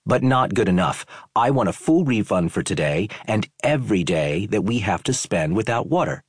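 A man reads a story aloud and lifts his voice into an indignant, blustering vacationer who bullies the front desk. The voice sits well above a low, husky natural register, tight and close with no room sound. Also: expressive, normal speed, dry recording. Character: red-faced angry male tourist, demanding and bullying.

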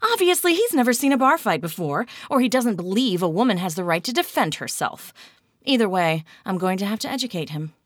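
A woman voicing a brash, quick-tempered female TV renovation star who talks bluntly and sarcastically. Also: fairly low pitch, big pitch swings, fairly bright tone, dry recording, studio quality. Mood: fiery.

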